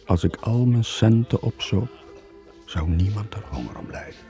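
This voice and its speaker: An actor playing a bitter, lonely middle-aged sailor in a radio drama, brooding and confessional. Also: male voice, lowish voice, animated, normal speed, music in the background. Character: bitter, lonely long-serving merchant sailor Joon. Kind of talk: 1980 radio drama acting.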